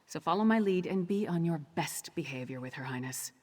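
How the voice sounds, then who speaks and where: An actress voicing a bold, battle-worn warrior woman in a video game, blunt and commanding, with a husky voice. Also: lowish voice, lively intonation, a little fast, darkish tone. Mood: urgent. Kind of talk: video game character dialogue.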